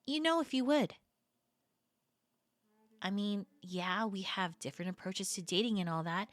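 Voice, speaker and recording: Woman acting flirty, expressive best friend, close and dry. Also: mid-range voice, no echo, close to an everyday voice. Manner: casual, expressive, hesitant trailing-off phrases. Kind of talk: intimate audio roleplay acting, conversational.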